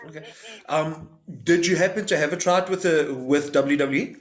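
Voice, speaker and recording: A man interviewing a guest, asking short, halting questions between brief affirmations. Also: fairly high pitch.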